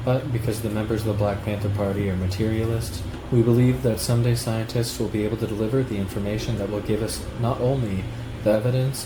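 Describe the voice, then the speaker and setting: A man reading a written text aloud at a steady, measured pace. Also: fairly low pitch, reverberant, noisy background. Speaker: reader of a political text.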